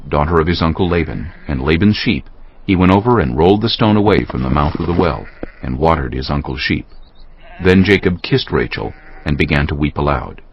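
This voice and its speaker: Very deep, gravelly male Bible narrator. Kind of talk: scripted dramatic Bible narration. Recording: slightly dull sound, music underneath. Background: music.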